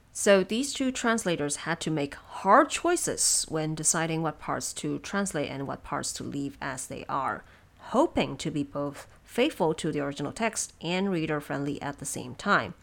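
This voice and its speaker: A woman hosting production notes, explaining things in a measured, semi-scripted and lightly wry way. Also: low-pitched, gravelly. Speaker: host of production notes for an audio drama.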